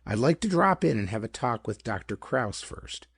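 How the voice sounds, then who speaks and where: A man's voice: medium pitch, very animated, moderate pace, gravelly, husky, no echo, studio quality, heavily acted. A man voicing a chubby, superior male detective in a pulp audiobook, with formal, pedantic, emphatic phrasing. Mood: confident.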